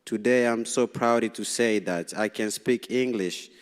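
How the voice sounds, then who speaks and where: A young man from Tanzania whose first language is Swahili, reading a prepared speech as a student representative at a public meeting, halting and nervous, with frequent restarts. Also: lowish voice. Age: young adult. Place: large city hall meeting room. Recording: long reverb in a council chamber.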